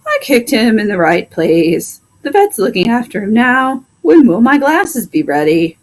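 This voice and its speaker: A woman voicing a plain-spoken, indignant male plow horse with drawn-out, emphatic complaints in a storybook. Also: on the high side, big pitch swings, pitched above the performer's own voice. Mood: matter-of-fact.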